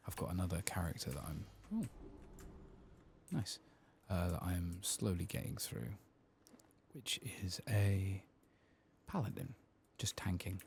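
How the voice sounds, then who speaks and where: A man speaking softly and often whispering in ASMR style as he comments on a game he plays, close to the microphone. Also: low voice, lively intonation, gravelly, a little hiss or hum. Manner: hushed, reads quest text aloud slowly. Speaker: ASMR gaming streamer narrating a playthrough.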